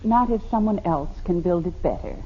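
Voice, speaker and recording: An actress playing a sensible middle-aged American housewife and mother, wry and gently needling, in 1950s radio sitcom style. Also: lowish voice, animated, brisk, husky. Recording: noisy, dull old radio recording.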